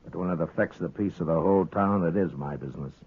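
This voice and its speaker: Western town marshal, very low husky voice, dry n terse, american, old thin radio recording. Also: male voice, quick pace, phone-quality sound. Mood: stern, warning. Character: stern, laconic frontier town marshal, steady authority. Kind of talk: 1950s radio western acting.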